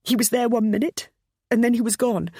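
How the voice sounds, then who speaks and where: A woman voicing a frightened, tearful middle-aged mother who speaks in a high, breaking voice. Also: fairly even intonation, fairly quick, mellow, husky, no echo, studio quality. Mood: distraught.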